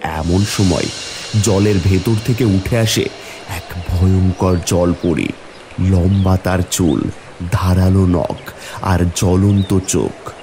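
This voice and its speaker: Low-pitched male storybook narrator. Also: slow, background noise. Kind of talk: scripted fairy tale narration.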